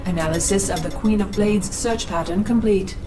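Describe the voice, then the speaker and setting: An actress voicing an impassive ship computer in a video game, giving clipped, procedural alerts. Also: female voice, medium pitch, moderate pace, recorded from a distance, music in the background, close to an everyday voice, low-quality recording.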